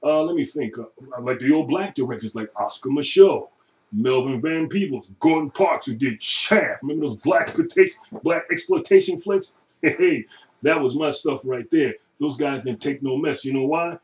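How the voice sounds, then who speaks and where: A middle-aged man recording a chatty, joking audition, laughing often and now and then dropping into a booming announcer voice. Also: moderate pace, recorded from a distance. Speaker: voice-over audition. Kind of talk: self-made audition video.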